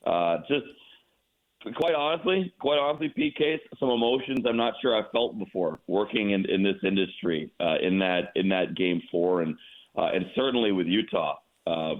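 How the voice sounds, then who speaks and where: Male sportscaster guest, lowish voice, halting and emphatic, thin phone-band audio. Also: phone-quality sound. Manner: halting, repeats words for emphasis. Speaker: radio play-by-play announcer, guest. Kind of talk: casual sports radio interview commentary.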